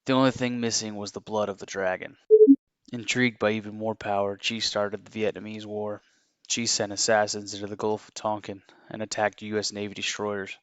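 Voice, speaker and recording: A man's voice: lowish voice, flat delivery, a little fast, fairly bright tone, clean tone, no echo, lightly acted. A man playing an earnest, haunted former assassin confessing his story in an audio drama. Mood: grave, regretful.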